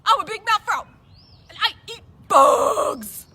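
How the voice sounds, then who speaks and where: A loud, boastful frog for a children's puppet show. The voice is very high and swoops widely, with booming, stretched-out words over clear background noise. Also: female voice, lively intonation, heavily acted. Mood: boastful, eager. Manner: booming, drawn-out, exaggerated greetings. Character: boastful, loud big-mouthed frog, eager bug eater. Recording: noisy live recording.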